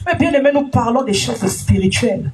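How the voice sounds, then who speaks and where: A woman preacher delivering a sermon in an emphatic, sing-song manner, rising to shouts and calling out alleluia between questions to the congregation. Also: a little high, animated, fairly quick, soft background music. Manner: frequent alleluias and direct questions to listeners. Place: church gathering.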